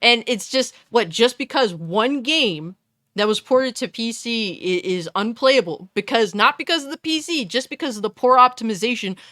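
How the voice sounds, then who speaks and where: A woman with a fairly deep voice giving a blunt, scornful, profane commentary rant. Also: expressive, moderate pace, pushed and bright, no echo, clean studio sound. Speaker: commentary YouTuber. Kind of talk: ranting commentary.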